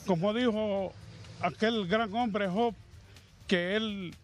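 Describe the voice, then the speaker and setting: A middle-aged man with a Honduran accent interviewed outdoors about losing his home, speaking slowly and haltingly with religious phrasing, into a handheld mic. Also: sits high, fairly even intonation, faint music behind. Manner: slow, halting, pious. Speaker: fire victim interviewed. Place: outdoors by a burned house. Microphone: handheld reporter mic.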